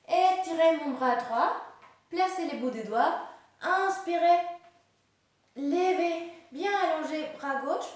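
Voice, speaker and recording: A woman in her late twenties leading a guided yoga class in a gentle, hesitant way, with many fillers and restarts. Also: high-pitched. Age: late 20s. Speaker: yoga instructor. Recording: very echoey room, voice far from mic.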